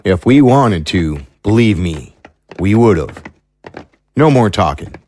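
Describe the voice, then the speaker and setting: An actor playing a gruff, curt, mocking prison guard in an audio drama, speaking in threats. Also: male voice, fairly low pitch, very animated, takes it slowly, a little mellow, slight background noise. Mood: threatening.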